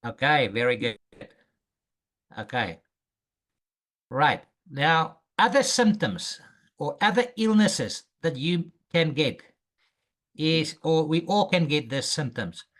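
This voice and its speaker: A man's voice: on the high side, big pitch swings, a bit bright. Patient man teaching English phrases. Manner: slow, patient, repeats phrases for the student. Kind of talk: language lesson drills.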